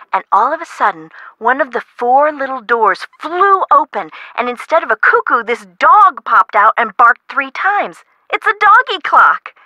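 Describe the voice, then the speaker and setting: An actress playing an excitable, worried woman homeowner in a video game, American and chatty in an emphatic way. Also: fairly high pitch, dry recording, full character voice. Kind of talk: scripted game dialogue.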